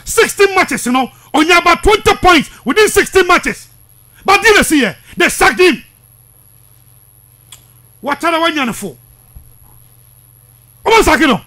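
A man's voice: very high voice, big pitch swings, a little slow, pauses often, bright, forceful tone. A man in his thirties with a Ghanaian accent giving heated sports commentary, emphatic, insistent and repetitive, mixing languages at a studio microphone. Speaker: sports radio presenter. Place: small radio studio. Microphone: studio microphone close up.

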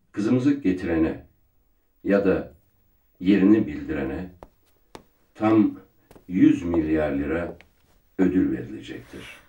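Solemn rich dad reading formal statement in tv drama, low voice, roomy muffled sound. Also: male voice, dark tone. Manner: formal, measured. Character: solemn wealthy father appealing to the press. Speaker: family spokesman making a press statement.

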